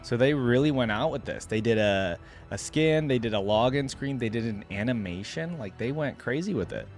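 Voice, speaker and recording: A man's voice: medium pitch, animated, normal speed. A man giving casual, slangy, enthusiastic reaction commentary as a video host. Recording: music playing behind the voice. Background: game theme music.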